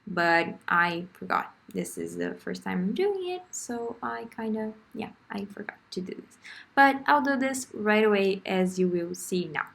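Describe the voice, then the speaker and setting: A young woman from Brazil speaks English with a clear Brazilian Portuguese accent. She chats casually, restarts her sentences and laughs often at her own mistakes. Her voice sits at a middle pitch for a woman. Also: huge pitch swings, a little slow, a bit bright. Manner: chatty, self-deprecating, laughs often, many restarts. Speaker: art vlogger. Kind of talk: casual voice-over narration of a craft video. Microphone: phone.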